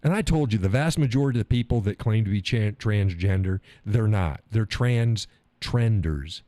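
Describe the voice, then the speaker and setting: A blunt, profane man hosts a live stream, his voice dark and mellow, set a little low. He hammers points home by repeating himself and reads out viewer comments. The sound is close and dry. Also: moderate pace, no room sound. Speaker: host of a live stream show.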